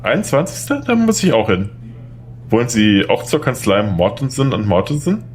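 A man's voice: medium pitch, very animated, moderate pace, a little mellow, natural voice. A man acting a polite, slightly flustered young lawyer in an audio drama. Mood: friendly.

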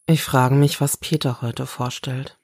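A woman's voice: a bass voice, little pitch movement, unhurried, a little mellow, no echo, clean studio sound, full character voice. An actress playing a reserved, hesitant female chef in an audio drama, speaking tentatively.

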